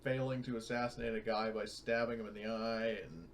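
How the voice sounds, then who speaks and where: Low-voiced man chatting over a game. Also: level tone, fairly quick. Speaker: tabletop game player. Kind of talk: casual joking game chat.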